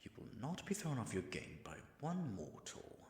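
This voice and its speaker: A man's voice: medium pitch, wildly swooping pitch, moderate pace, darkish tone, roomy sound. A man acting as an all-powerful, self-conscious dragon in disguise, muttering dry, sardonic asides to himself in a scripted audio roleplay. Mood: flustered.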